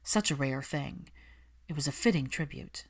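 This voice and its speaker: A woman's voice: sits low, animated, moderate pace, gravelly, breathy edge, heavily acted. A woman voicing an earnest, guarded witch, weary but stubborn, in audiobook dialogue. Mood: tender, grieving.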